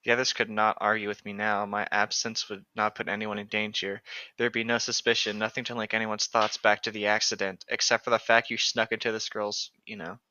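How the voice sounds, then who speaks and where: A man's voice: lowish voice, level tone, a little fast, sharp tone, dry recording, muffled recording. A man co-hosting a read-along show, reading passages aloud and breaking off into casual, mocking jokes. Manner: jokey, mocking.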